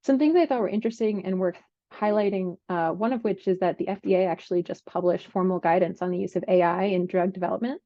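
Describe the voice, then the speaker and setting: A woman's voice: on the low side, fast, dry recording. A woman working as a biotech analyst, giving a conversational market briefing on a call. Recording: slightly dull, lo-fi call audio.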